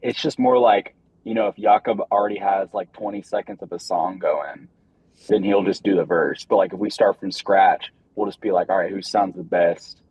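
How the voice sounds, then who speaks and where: A man's voice: low-pitched, normal speed, a little mellow, breathy edge, dry recording. A young man, a band member, chatting casually in an interview, rambling and laid-back with lots of fillers. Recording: dull lo-fi recording.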